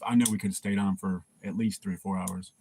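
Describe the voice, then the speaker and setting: A man's voice: low voice, flat delivery, fast, warm tone, slight background noise. A man hosting a casual livestream, laid-back and swearing, laughing often and greeting viewers by name. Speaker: livestream host.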